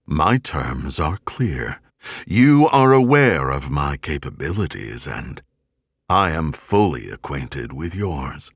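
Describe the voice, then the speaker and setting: Audiobook role: icy tyrant female machine mind, played by a man, low-pitched, slow, measured and steely. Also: very animated, slightly rough, dry recording, studio quality, heavily acted. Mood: cold menace. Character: cold, commanding female artificial intelligence tyrant. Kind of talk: audiobook character voice.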